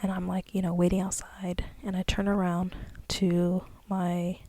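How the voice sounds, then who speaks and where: A woman's voice: on the low side, flat delivery, measured pace. A young woman whispering as she recounts a dream in a rambling, ASMR-style way, full of fillers. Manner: soft breathy whisper, rambling with many fillers.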